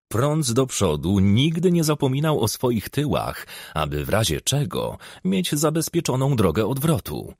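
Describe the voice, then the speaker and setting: A man narrates an audiobook crime story. His voice is low and husky, with pitch that moves freely through measured, expressive phrases. The sound is close and dry. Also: dry recording. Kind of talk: scripted narration of a crime novel.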